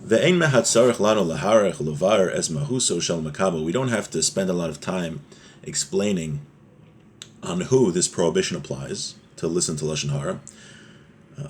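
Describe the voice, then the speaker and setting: A man with a fairly low voice teaches a religious text. He reads short Hebrew phrases aloud, then translates and explains them phrase by phrase in the manner of a teacher.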